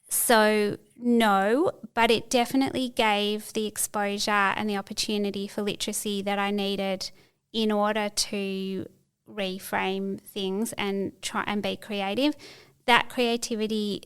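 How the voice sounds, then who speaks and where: Middle-aged woman, interview guest, very clean smooth voice, fairly flat delivery, thoughtful with restarts. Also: medium pitch. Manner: thoughtful, searching for words with frequent restarts. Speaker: podcast guest. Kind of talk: reflective interview conversation.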